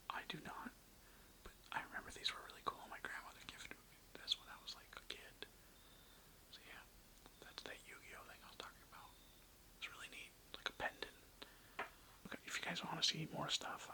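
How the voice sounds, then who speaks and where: An ASMR host rambling casually in a breathy whisper, loosely strung together with lots of filler words. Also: medium pitch, pushed and bright. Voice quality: breathy, mostly unvoiced whisper. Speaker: host of a toy-collection ASMR video.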